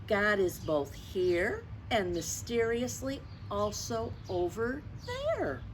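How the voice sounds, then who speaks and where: An older woman, in her sixties or beyond, reading a picture book aloud to children in a gentle, expressive way with conversational asides. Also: mid-range voice, slow, slight background noise, poor audio. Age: 60s or older. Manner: gentle, expressive, addressing children with tag questions. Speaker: children's storyteller.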